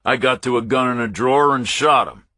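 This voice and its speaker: A man's voice: mid-range voice, brisk, bright, forceful tone, no room sound, clean studio sound, pitched above the performer's own voice, lightly acted. A man voicing a cornered Pentagon officer in a thriller audiobook, arrogant and impatient, insisting on his story in a glib, defensive way. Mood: urgent, insistent.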